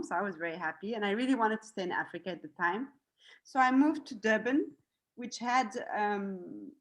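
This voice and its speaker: Swiss-born woman raised in zimbabwe, young, highish voice, chatty interview guest. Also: rough recording. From Switzerland, raised in Zimbabwe. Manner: chatty, many fillers and restarts, light laughs. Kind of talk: casual interview chat.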